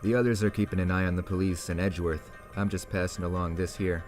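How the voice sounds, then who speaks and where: A man's voice: sits low, steady tone, fast talker, darkish tone, lightly acted. An actor playing a laid-back, playful male secret agent with a breezy, teasing manner in an audio drama. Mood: casual briefing. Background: music.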